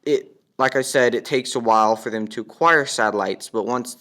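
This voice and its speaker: A man's voice: medium pitch, moderate pace, a bit bright. A young man giving a casual, matter-of-fact walkthrough as he demonstrates a product.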